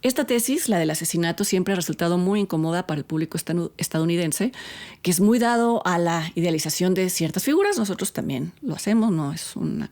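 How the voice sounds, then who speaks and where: A woman film critic hosting a review segment, talking in a measured, reflective way with the odd filler. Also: on the low side, animated, a little mellow. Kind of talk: scripted film review commentary.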